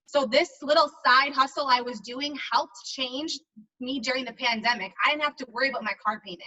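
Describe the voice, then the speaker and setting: Woman with a high, bright voice, encouraging testimonial, distant lo-fi mic. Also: brisk. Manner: grateful, encouraging. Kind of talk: personal testimonial on a group call. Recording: distant, dull lo-fi sound.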